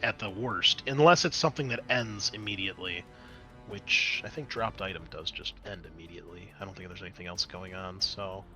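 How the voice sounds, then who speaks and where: A man with a bright voice and mid-range pitch runs a tabletop roleplaying game. He narrates scenes casually and explains the rules in a halting, explanatory manner, with music behind him. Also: dull audio. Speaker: game master.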